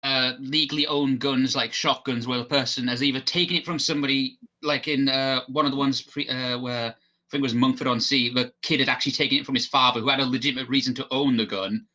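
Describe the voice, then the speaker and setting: British man, bright forceful voice, matter-of-fact, casual explanatory podcast chat. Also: mid-range voice, steady tone, fast talker, poor audio. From Britain. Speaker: podcast panelist.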